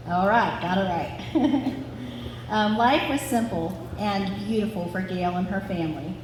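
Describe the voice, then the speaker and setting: A woman reading a scripted family story aloud to a live audience. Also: medium pitch. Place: large reverberant room at a family gathering. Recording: very echoey hall with clear background noise.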